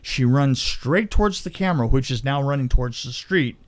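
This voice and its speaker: A man's voice: medium pitch, lively intonation, normal speed, no room sound, clean studio sound. A man telling behind-the-scenes film stories in a casual, conversational way, acting out the exchanges he quotes. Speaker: film crew veteran, podcast storyteller. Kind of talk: casual anecdotal storytelling.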